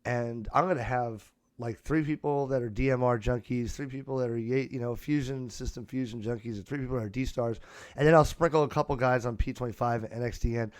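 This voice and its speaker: A man with a mid-pitched voice chats casually as a podcast host. He sounds unscripted and conversational, recorded close on a podcast mic with very little room sound. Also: brisk, no room sound. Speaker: podcast host and amateur radio hobbyist. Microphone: close USB podcast microphone.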